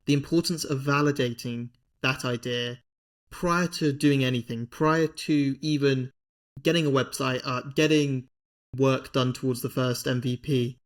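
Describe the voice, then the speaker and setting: A man hosting a podcast, asking long, measured interview questions. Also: medium pitch, steady tone. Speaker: podcast host.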